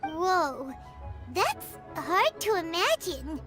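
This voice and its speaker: A woman voicing a tiny, chirpy, curious fairy companion in a video game, squeaky and excitable, speaking of herself in the third person. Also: drawn-out words, exaggerated voice. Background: game music.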